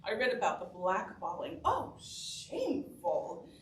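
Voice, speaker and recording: Flamboyant, swooping, theatrical astrologer. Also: female voice, medium pitch, huge pitch swings. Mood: dramatic dismay. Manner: grandiose, sing-song, drawn-out exclamations. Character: flamboyant, theatrical ex-performer turned astrologer, grandly dramatic woman. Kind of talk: musical theatre staged reading. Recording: roomy, distant stage recording, loud noise.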